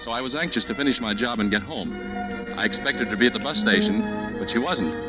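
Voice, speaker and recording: An actor playing an anxious, protective young man from California in a 1940s radio drama, plain-spoken and halting, with music underneath. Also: mid-range voice, close to an everyday voice. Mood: somber, regretful. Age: young adult. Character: anxious, protective young Californian brother telling his story.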